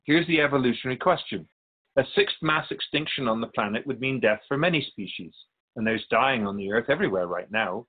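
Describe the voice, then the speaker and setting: A man playing a wise, wry otherworldly spirit guide in a radio drama interview, measured and philosophical. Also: medium pitch, animated, phone-quality sound, lightly acted. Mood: earnest, probing. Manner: measured, philosophical, gently admonishing.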